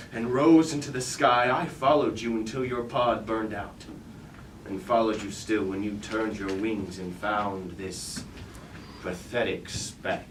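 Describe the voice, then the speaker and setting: An actor playing a proud, wrathful deposed robot warlord king, with grand, commanding, archaic delivery in a staged reading of a tragedy. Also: lowish voice. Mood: grim, burning. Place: indoor staged reading at a university. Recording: roomy, distant stage recording with light noise.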